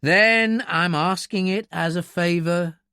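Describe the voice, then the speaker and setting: Male narrator as tired but steely captain in a war audiobook, dry and curt, raised pitch, close dry sound. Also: expressive, moderate pace, fairly bright tone, no room sound. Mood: weary pleading. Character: steely, weary, patient male army captain in command.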